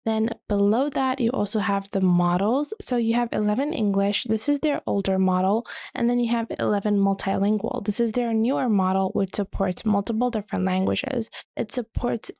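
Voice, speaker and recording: A woman narrates a step-by-step software tutorial in a relaxed, mellow voice. Her speech often settles into a gravelly creak. The sound is very dry, with hardly any room around it. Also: mid-range voice, normal speed, hardly any pauses, dry recording. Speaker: tutorial presenter.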